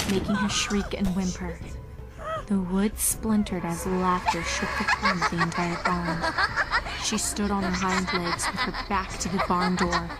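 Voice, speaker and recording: A woman's voice: fairly low pitch, rough recording. A woman giving a dramatic scripted narration of a horror story.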